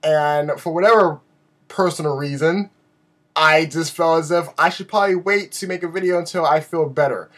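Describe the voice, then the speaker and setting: A man giving a casual, rambling vlog update in an animated, self-deprecating way, his voice slightly raspy from a sore throat. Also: on the high side, moderate pace. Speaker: video blogger.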